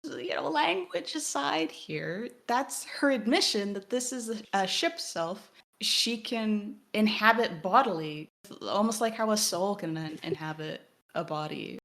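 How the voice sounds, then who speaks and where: A woman's voice: mid-range voice, lively intonation, measured pace, creaky, a bit bright. A woman co-hosting a podcast, discussing a game analytically in a thoughtful, academic way. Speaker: podcast co-host.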